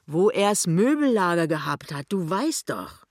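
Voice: mid-range voice, big pitch swings, measured pace, no room sound, studio quality. A narrator voicing a stern, brusque shopkeeper's wife in an audiobook, bossy and emphatic but helpful. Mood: impatient. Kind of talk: audiobook character dialogue.

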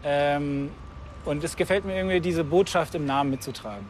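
A man answers interview questions in a somewhat high voice. He is outdoors in a city with faint traffic noise around him. He sounds thoughtful and hesitates now and then. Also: fairly quick.

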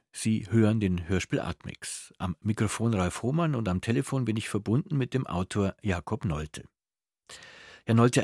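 Guy interviewing on arts radio, bit of fry in his voice, very dry close mic. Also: fairly low pitch, darkish tone, dry recording. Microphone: close, dry studio microphone.

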